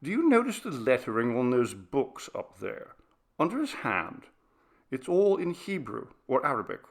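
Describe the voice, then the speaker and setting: A man voicing a knowing gentleman who casually muses on old portraits in an audiobook ghost story. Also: medium pitch, very animated, moderate pace. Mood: knowing musing. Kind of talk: audiobook character dialogue.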